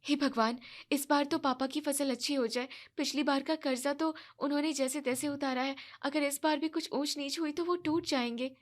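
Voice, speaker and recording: A woman voicing an anxious young newlywed bride who speaks softly and sounds hurt. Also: high voice, fairly even intonation, moderate pace, few pauses, pitched above the performer's own voice, lightly acted. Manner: soft, hurt, pleading.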